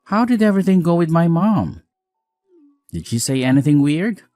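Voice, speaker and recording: A man playing a weary, apologetic newlywed husband in a scripted audio drama, earnest, expressive, caring and frank. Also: a little high, moderate pace, soft background music.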